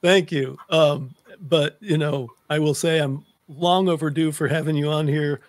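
A middle-aged man hosts a conversational interview show. His voice sits slightly high for a man. He is captured up close on a desk microphone, with a very dry sound. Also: steady tone, measured pace, dry recording. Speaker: talk show host. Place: home room lined with books, indoors. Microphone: close desk microphone on a video call.

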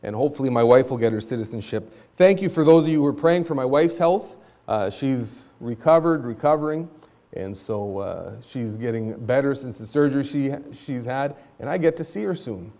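A man's voice: lively intonation, normal speed, mellow. A middle-aged man who grew up speaking Spanish at home, giving an earnest, conversational missions talk from a church pulpit. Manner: earnest, conversational, rises in pitch when stressing a point. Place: church sanctuary stage at a pulpit.

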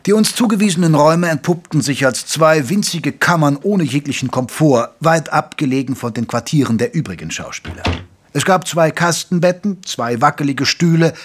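An actor playing a gentlemanly doctor who narrates an audio drama in articulate, literary, measured speech. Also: male voice, a little high, lightly acted. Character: gentlemanly male doctor, detective's loyal companion, narrating. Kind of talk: scripted first-person audio drama narration. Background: music.

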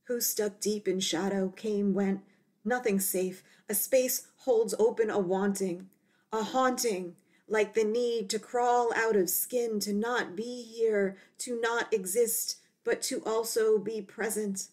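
A woman poet reading her poetry aloud in short, deliberate phrases with pauses. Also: mid-range voice, fairly even intonation, a little mellow.

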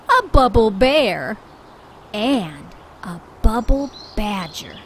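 Very slow, lively woman reading to children. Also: fairly high pitch, a little hiss or hum. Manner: expressive, deliberate, with drawn-out emphasis. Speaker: children's story reader. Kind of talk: read-aloud storybook narration for young children. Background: background music.

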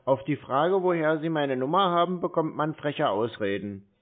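A man's voice: on the high side, lively intonation, moderate pace. A man in a casual phone conversation, dry, laconic and skeptical. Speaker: scam-baiter taking a sales call. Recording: narrow phone-like sound.

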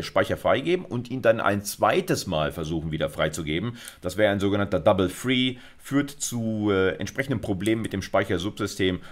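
Male lecturer, middle-aged, low pitch, conversational explainer delivery for a university video course. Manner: conversational, often checks in with short tags. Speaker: university computer science lecturer.

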